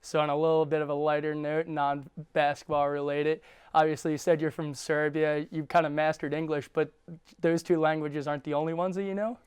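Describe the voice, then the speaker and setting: A man's voice: a little high, level tone, fairly quick, clear, resonant tone, no room sound. A young man hosting a student sports show, asking polished interview questions in a studio. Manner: fluent, polished presenter delivery. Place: studio set.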